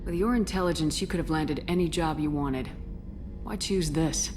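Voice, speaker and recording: A woman playing a steady, supportive military commander in a video game, direct and probing in how she talks. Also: deep voice, normal speed, music in the background, close to an everyday voice.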